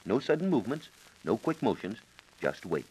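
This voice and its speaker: An American man giving measured, precise instructions as a science reporter on a scripted 1940s radio program. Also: on the low side, very animated, a little mellow, slightly rough, low-quality recording. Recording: 1940s radio broadcast recording.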